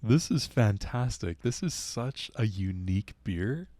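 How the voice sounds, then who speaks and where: A man's voice: lowish voice, expressive, unhurried, warm tone, dry recording. A man co-hosting a casual beer tasting, raving enthusiastically about what he smells and tastes. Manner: enthusiastic, effusive. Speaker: beer reviewer, co-host.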